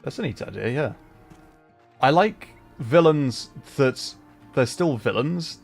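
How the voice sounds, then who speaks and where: A man's voice: mid-range voice, animated, slow, gravelly. A man chatting casually on a gaming livestream, thinking aloud in a hesitant, rambling way. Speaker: streamer. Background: game music.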